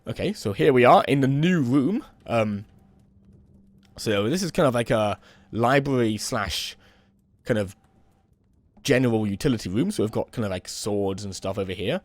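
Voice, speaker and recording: Young male let's play host, a bit hoarse from a cold, laid-back rambling delivery, mid pitch, dry sound. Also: moderate pace, pauses often, dry recording. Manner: relaxed, rambling, many "um" and "kind of". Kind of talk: casual gameplay commentary.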